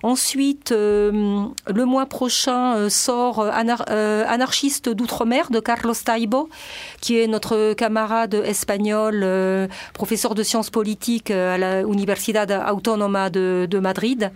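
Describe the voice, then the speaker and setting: A woman whose first language is Spanish, a guest editor and translator on a radio interview, speaking hesitantly with many filler pauses. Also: mid-range voice, steady tone, smooth.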